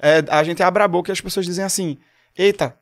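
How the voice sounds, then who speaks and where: Young pernambuco guy, singer on a podcast, kinda high voice, tells stories with lots of energy. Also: fast talker. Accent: Pernambuco Portuguese. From Pernambuco, Brazil. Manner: animated, storytelling, quotes people. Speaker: singer guest. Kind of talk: casual podcast chat.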